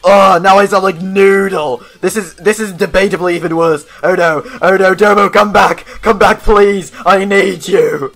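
Man playing cartoon contestant, higher male voice, melodramatic, blunt, spiteful, stammering, repetitive, amateur web animation. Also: fairly even intonation, moderate pace, hardly any pauses, fairly bright tone, faint music behind. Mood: panicked.

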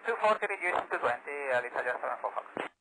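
A man's voice: mid-range voice, expressive, racing. A man speaking as an airline pilot, giving procedural readbacks over the radio. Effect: radio transmission. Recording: very noisy aviation radio transmission.

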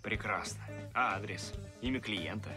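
An actor, a man, playing a weary, sardonic courier turned monster in an animated noir cartoon, grumbling in a mid-pitched voice. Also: normal speed, music in the background, natural voice. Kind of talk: animated noir film acting.

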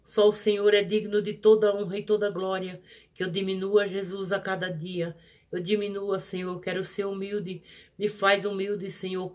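A prayer leader, leading a fervent healing prayer in a rhythmic, repetitive, pleading cadence, swelling loud on each plea and dropping soft on each invocation. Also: mid-range voice. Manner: pleading, rising loud on pleas and falling soft on each "Jesus".

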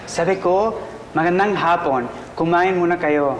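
An actor playing a smooth businessman who drops in Portuguese phrases to sound worldly. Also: sits high, moderate pace, echoey room, in a large hall, background noise, lightly acted. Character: smooth half-Portuguese wine businessman, showy. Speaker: businessman and wine maker.

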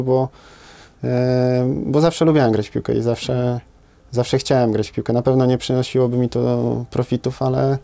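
Man, 30s, hesitant, frequent fillers, dark tone, small studio talk. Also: mid-range voice. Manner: hesitant, many fillers and tag questions. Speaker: footballer guest. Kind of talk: casual interview answers. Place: small studio with brick wall.

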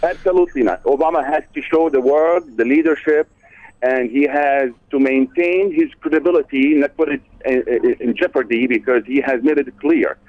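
Caller guy on a crackly phone line, stops and starts a lot, mid-pitch voice. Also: no room sound. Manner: halting, fills with uh and repeats. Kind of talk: radio call-in conversation.

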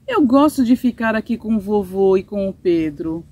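A woman's voice: medium pitch, expressive, normal speed, darkish tone, no room sound, natural voice. A woman voicing a curious, affectionate young mountain girl in a storybook, with simple, earnest phrasing and a Brazilian Portuguese accent. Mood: wistful.

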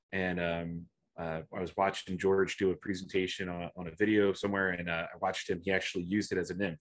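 A man's voice: lowish voice, a bit bright. Man presenting, full of ums and restarts. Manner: hesitant, many fillers and restarts. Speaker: guest presenter. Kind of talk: online slide presentation. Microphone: video call.

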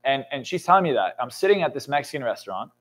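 A man's voice: medium pitch, very fast. A man in his twenties, a motivational speaker and coach, talking emphatically in a studio interview and swearing often. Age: 20s. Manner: intense, emphatic, swears often, builds to excited peaks. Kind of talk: motivational talk in a podcast interview. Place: indoor studio lounge set.

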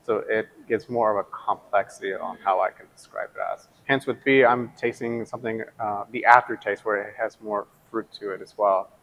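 A man's voice: lowish voice, steady tone, moderate pace, hardly any pauses, a bit bright, slight background noise, poor audio. A man tasting beer in a brewery taproom, thoughtfully describing the flavors into a lapel mic. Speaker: coworker taste tester. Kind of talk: casual tasting comments.

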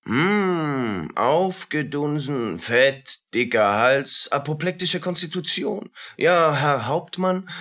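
An actor playing a cold, pedantic doctor in a radio play, clinical and mocking. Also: male voice, medium pitch, bright, forceful tone. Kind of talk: radio drama acting.

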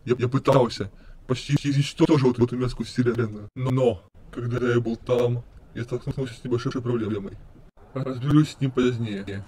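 A man's voice: medium pitch, fairly even intonation, normal speed, warm tone, slight background noise, natural voice. A man voicing an insane, menacing skeleton in an amateur comic dub, with glitchy stutters and repeated words. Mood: uneasy, irritated.

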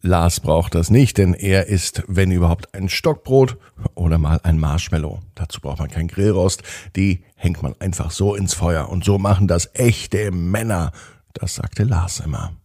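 A man hosts a children's podcast, narrating a bedtime story expressively with drawn-out phrases. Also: deep voice, warm tone, no echo, studio quality.

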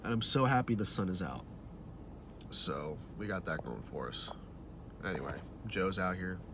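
A man's voice: low-pitched, big pitch swings, normal speed, noisy background. A young man with a cold talks casually to a phone camera in a parked car, offhand and joking about his film shoot. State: stuffy nose from a cold. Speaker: film director. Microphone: phone held at arm's length.

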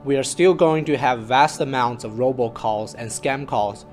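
A man with a mid-pitched voice reads a scripted explainer as a researcher. His delivery is measured and formal. Instrumental music plays behind him. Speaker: researcher presenting a project. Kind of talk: scripted narration, explainer presentation.

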